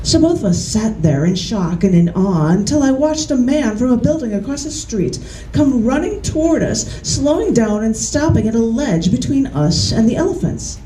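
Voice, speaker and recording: A woman poet giving a live reading in a bookstore, reading in a measured, deliberate way with chatty, laughing asides between poems. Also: on the high side, animated, warm tone, a little hiss or hum. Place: large bookstore, indoors. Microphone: camera mic. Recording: echoey room from the camera.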